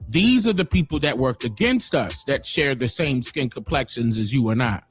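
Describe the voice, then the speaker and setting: A man hosting a livestream, giving emphatic opinionated commentary that swings from loud exclamations to low muttering. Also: expressive, normal speed, slightly rough, soft background music. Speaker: livestream host.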